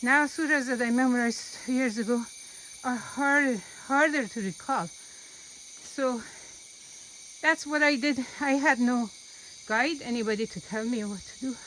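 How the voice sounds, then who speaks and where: A woman's voice: fairly high pitch, unhurried, a bit bright, slight background noise, poor audio. A woman teaching about the Quran while walking a rainforest trail, speaking earnestly and unhurriedly and repeating phrases for emphasis. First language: not Arabic. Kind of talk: walking vlog talk.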